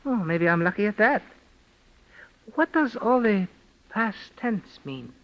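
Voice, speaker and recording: Blunt, sardonic doctor, vintage radio mystery. High-pitched, wisecracking, lo-fi recording. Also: male voice, very animated, slow pace, full character voice. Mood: dry. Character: cynical, sardonic male doctor, detective's friend. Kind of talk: 1940s radio mystery acting.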